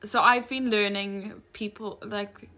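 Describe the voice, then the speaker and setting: A woman's voice: mid-range voice, fairly even intonation, slow pace, sharp tone. A young woman from Africa, co-hosting a casual podcast chat and rambling as she corrects herself. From South Africa. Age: young adult. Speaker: podcast co-host.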